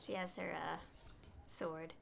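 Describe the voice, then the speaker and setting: A woman's voice: deep voice, little pitch movement, takes it slowly, creaky, very noisy. A woman giving casual live gameplay commentary as a streamer, hesitant and thinking aloud, often trailing off. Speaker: game streamer.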